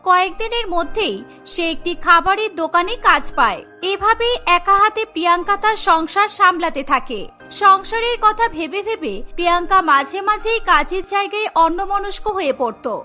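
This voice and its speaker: A woman's voice: very high-pitched, animated, normal speed, pushed and bright, faint music behind. A woman reading scripted narration for a cartoon story as the storyteller.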